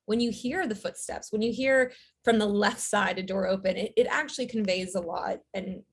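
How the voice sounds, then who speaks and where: Podcast guest: young adult woman, husky, chatty, laughs easily. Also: medium pitch, normal speed. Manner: chatty, laughs often, many fillers. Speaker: audio drama writer, guest. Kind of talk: casual podcast interview.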